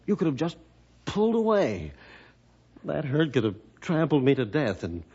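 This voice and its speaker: A stubborn, suspicious man with a gruff way of talking. His pitch sits a little high and moves freely. It is radio adventure acting with a dull, lo-fi sound. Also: huge pitch swings, normal speed, darkish tone, heavily acted. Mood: puzzled, softened. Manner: gruff, challenging.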